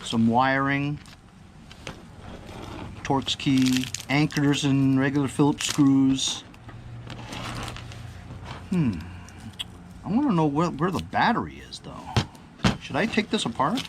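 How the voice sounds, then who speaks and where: A man's voice: medium pitch, lively intonation, slow pace, long pauses. A man doing a casual, unscripted product unboxing, rambling and thinking aloud while often checking in with the viewer. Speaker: product reviewer. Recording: clear background ambient noise.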